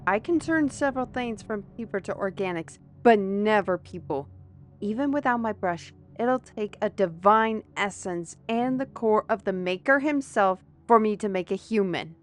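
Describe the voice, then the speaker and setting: Casting: steady, caring woman of magic, female actor, patient questioner, fantasy audio drama over soft music. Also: mid-range voice, close to an everyday voice. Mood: protesting, earnest. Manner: reassuring, deliberate. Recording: music under the voice.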